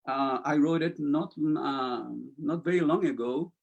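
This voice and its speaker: A man with a Spanish accent, a poet and interview guest, speaking slowly and hesitantly with many pauses and fillers, and reading poems aloud. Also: a little high, gravelly, highs cut off. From Chile. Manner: slow, hesitant, many fillers, soft-spoken.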